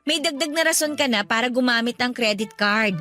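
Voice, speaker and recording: Pushy cheerful shopping buddy, really high sharp voice, radio drama. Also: female voice, a little fast, soft background music. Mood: persuasive. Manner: animated, coaxing, exclamatory. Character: lively shopaholic female friend, cheerfully persuasive.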